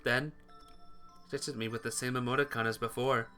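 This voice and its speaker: Bright-voiced man narrating a visual novel. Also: mid-range voice, fairly even intonation, moderate pace, faint music behind. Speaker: playthrough narrator reading game text aloud. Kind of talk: dramatic story narration.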